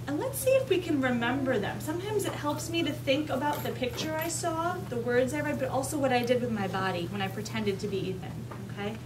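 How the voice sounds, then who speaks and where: A woman's voice: sits high, lively intonation, a little fast, hardly any pauses. A woman leading an interactive read-aloud lesson for young children, using simple instructional phrasing and frequent check-in questions. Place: kindergarten classroom with children. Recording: distant mic, clearly noisy room ambience.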